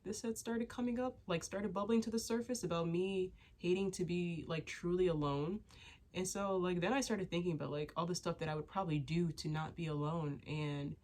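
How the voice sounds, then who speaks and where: A woman's voice: on the low side, steady tone, a little fast, darkish tone. A young woman chatting candidly to her viewers, stuffy and sniffling from a cold, rambling with lots of fillers and restarts. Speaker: vlogger. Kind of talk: casual chat to camera.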